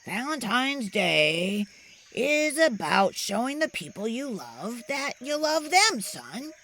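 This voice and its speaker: A woman voicing a kindly monkey father in a storybook, speaking deliberately and affectionately. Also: fairly high pitch, very animated, a bit bright, exaggerated voice. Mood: loving.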